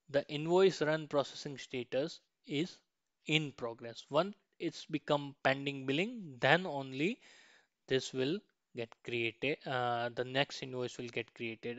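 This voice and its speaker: A man teaches a software process step by step in an informal screen-share style. His voice is clear and smooth at a medium pitch, captured close and dry on a slightly dull, lo-fi microphone. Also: dry recording. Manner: frequently ends points with a questioning "right". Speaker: software tutorial instructor.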